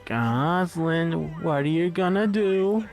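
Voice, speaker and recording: A man's voice: on the high side, expressive, slow pace, faint music behind. A man reacting casually to a cartoon as a guest riffer on a watch-along. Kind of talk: casual live reaction commentary.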